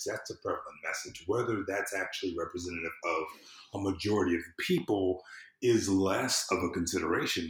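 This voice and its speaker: Low-voiced man in an echoey room. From southern United States. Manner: rambling, repeats phrases, explains through acted-out everyday examples. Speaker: podcast guest, civic engagement consultant. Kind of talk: casual conversational interview. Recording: roomy, echoey sound.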